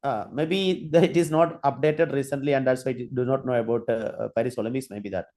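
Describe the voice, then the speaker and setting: A man giving an online training lecture, halting, with many fillers and restarts while he demonstrates. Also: medium pitch, a little mellow. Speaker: guest lecturer.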